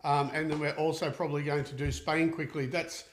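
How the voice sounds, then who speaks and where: A chatty man with a fairly high voice hosts a relaxed live Q&A, upbeat and full of fillers and restarts. The sound rings with the echo of a hard room. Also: a little fast, slight background noise. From Austria. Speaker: equine therapist and show host. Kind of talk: casual live Q&A chat. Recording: echoey room.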